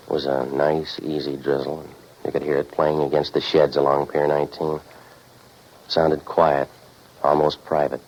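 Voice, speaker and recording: A hardboiled, world-weary American gumshoe from 1940s radio noir. The voice is extremely low and rattles along quickly in clipped, deadpan wisecracks. The old broadcast sounds dull, with clear noise behind it. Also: male voice. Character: cynical, hardboiled male waterfront private eye, world-weary wisecracker. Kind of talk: 1940s radio noir acting and first-person narration. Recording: muffled, noisy 1940s radio recording.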